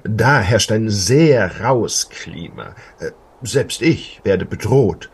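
Man playing a slow, low-voiced, officious border guard. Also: expressive, gravelly, breathy edge, heavily acted. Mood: self-important. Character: grumpy, self-important, cowardly male border guard. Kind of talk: German fairy-tale audio play acting.

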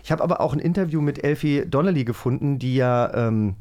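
A man hosting a podcast in casual, animated chat. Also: mid-range voice, fairly quick. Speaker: podcast host.

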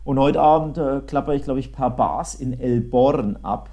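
Male co-host with a warm, relaxed mid-range voice, conversational sports analysis. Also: medium pitch, moderate pace. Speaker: football podcast co-host. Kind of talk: casual football analysis chat.

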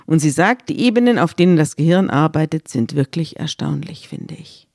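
A woman's voice: deep voice, animated, moderate pace, creaky, a little mellow, no echo, studio quality. A woman talking thoughtfully about a Bible story, recounting an anecdote and reflecting on it in a conversational discussion. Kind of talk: conversational religious podcast discussion.

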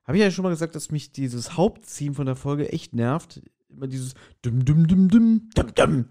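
A man's voice: on the high side, lively intonation, normal speed, mellow. A man chatting casually as a podcast host, retelling a story in colloquial, slangy language and acting out quotes. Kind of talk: casual chat, retelling an audio drama.

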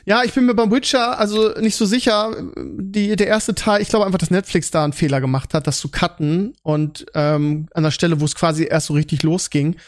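A man's voice: high voice, steady tone, a little fast, hardly any pauses. A man hosting a podcast in casual chat, often repeating words as he forms his thoughts. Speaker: podcast host. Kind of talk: casual podcast chat about series and films.

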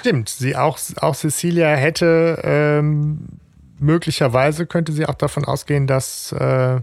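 Male co-host with a fairly high voice and a gravelly edge, reflective and halting, recorded dry. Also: steady tone, darkish tone, no room sound. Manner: hesitant, many fillers and restarts, reflective. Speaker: podcast co-host. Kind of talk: casual podcast discussion.

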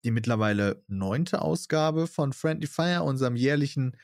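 A man hosting a podcast, talking briskly and casually, running through lists with small laughs. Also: medium pitch, animated, no room sound, studio quality. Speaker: podcast host.

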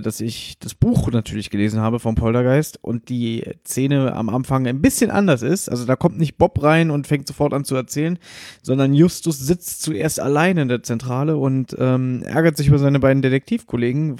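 A man, a podcast host and editor, calmly giving a casual explanation alone on the mic. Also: medium pitch, dry recording, studio quality. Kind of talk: casual spoken explanatory insert.

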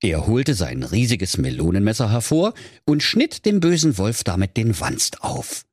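A man's voice: on the low side, big pitch swings, measured pace, a little mellow, slightly rough, dry recording, studio quality. A man narrating a comic fairy tale as a storyteller, in a dramatic storybook manner. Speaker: storyteller, podcast host.

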